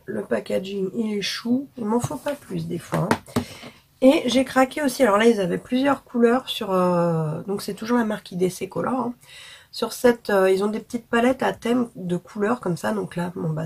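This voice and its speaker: A woman talks to her viewers in a relaxed, chatty vlog manner, full of fillers and frank slang. Her voice is husky and hoarse from illness, with a gravelly, creaky edge, sitting at a middle pitch. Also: moderate pace. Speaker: beauty vlogger. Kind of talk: casual haul chat to camera.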